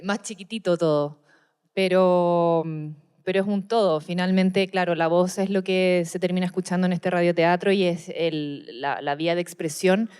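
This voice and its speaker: A woman's voice: mid-range voice, darkish tone, smooth. A Chilean woman talking casually as a panelist in a discussion about radio drama.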